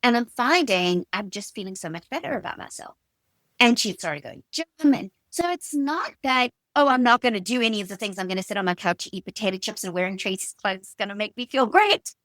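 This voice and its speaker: Middle-aged woman, South African accent, expressive conversational storyteller, mid-range pitch, very dry sound. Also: a little fast, no echo. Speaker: guest, stylist and designer. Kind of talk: conversational interview answers with personal anecdotes.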